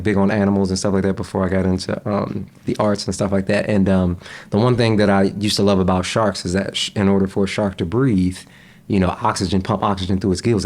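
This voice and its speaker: A young man chatting casually as a podcast guest, relaxed, with frequent laughs and fillers. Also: on the low side. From United States.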